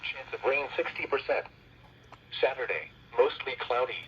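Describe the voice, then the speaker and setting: Automated male weather announcer, synthetic, mid-pitched, heard through a dull lo-fi radio speaker. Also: moderate pace, a bit bright, slight background noise. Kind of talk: automated forecast bulletin. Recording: muffled lo-fi weather radio playback.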